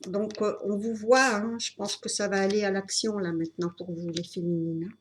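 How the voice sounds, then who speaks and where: A woman with a cold giving an unscripted tarot reading, talking in a halting way with many fillers and restarts. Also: medium pitch, fairly even intonation, slight background noise. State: has a cold. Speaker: tarot reader.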